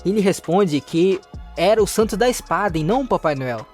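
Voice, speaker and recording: Story recap narrator, Brazilian accent, mid-pitched voice with animated intonation, light music underneath. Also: a little fast. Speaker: narrator of a manhwa recap channel.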